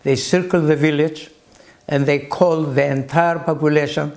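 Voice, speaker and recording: A man in his eighties with a Greek accent, recalling his early life as an interview guest in halting phrases with long pauses. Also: on the high side, steady tone. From Greece. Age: 80s. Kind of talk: slow reflective recollection.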